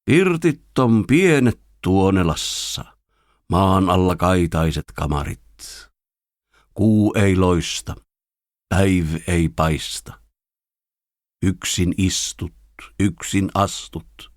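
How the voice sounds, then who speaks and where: A man voices a dead father's spirit in a poetry drama, low and solemn. He consoles from the grave in slow, somber verse, recorded very dry. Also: dry recording, natural voice. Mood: bleak, solemn.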